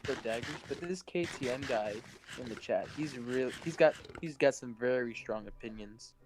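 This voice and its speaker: A man's voice: medium pitch, normal speed, crowd noise. A man bantering casually and teasingly in a gaming voice chat. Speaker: participant in a group gaming livestream. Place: online group voice call.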